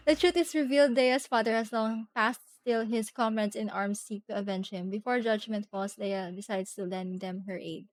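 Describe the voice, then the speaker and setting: A woman streaming a game live, chatting casually and giggly as she thinks out loud and reads bits of text. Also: a little high.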